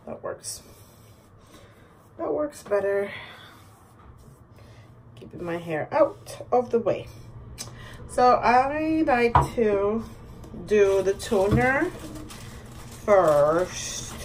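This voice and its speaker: A woman's voice: mid-range voice, extremely slow, pauses often. A woman, chatting casually like a bilingual vlogger while going through a routine. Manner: relaxed, switches between Spanish and English. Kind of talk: casual bilingual vlog, narrating a skincare routine. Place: small indoor bathroom. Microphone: camera or phone at about arm's length. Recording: clearly noisy home bathroom recording.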